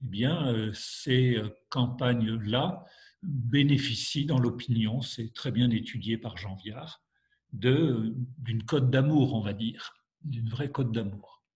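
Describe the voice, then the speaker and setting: A French man delivers a lecture at a steady, deliberate pace, pausing often to search for his words. He has a head cold and sounds a little stuffy. His voice sits in an ordinary mid range. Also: medium pitch. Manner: measured, hesitant with frequent fillers. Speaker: university lecturer. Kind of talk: academic lecture.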